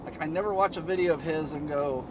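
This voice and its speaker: A man hosting a DIY car channel, chatting casually in a flat, low-key monotone from inside a moving car. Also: fast talker, background noise. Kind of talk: casual vlog talk while driving.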